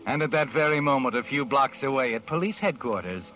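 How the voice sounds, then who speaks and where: Actor as a wry mystery narrator over music. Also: male voice, mid-range voice, a bit bright, lightly acted, rough recording. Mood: wry, ominous. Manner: dramatic, wry, measured storytelling. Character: knowing, sardonic male mystery narrator. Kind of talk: 1940s radio drama narration. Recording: vintage radio broadcast over music.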